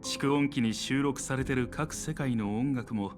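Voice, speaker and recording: A man's voice: mid-range voice, a little fast, music in the background, lightly acted. An actor playing a talkative, over-the-top middle-aged father and proud scholar in a game. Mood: musing. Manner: over-the-top, chatty, self-assured. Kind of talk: Japanese game voice acting.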